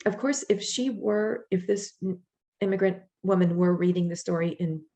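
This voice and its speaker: A woman's voice: mid-range voice, fairly even intonation, normal speed, warm tone. A woman author with a Midwestern accent, speaking casually at an online library event and then reading from her stories, with some fillers and restarts. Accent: Midwestern American. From northeast Ohio.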